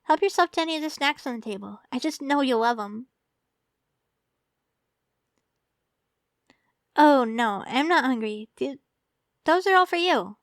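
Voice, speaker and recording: Casting: actress as sweetly obsessive young female champion for ASMR roleplay; high voice, coaxing and teasing, close dry sound. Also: animated, a little fast, dry recording. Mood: caring, cheerful. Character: tall, doting, teasing young woman Pokemon champion, secretly obsessive.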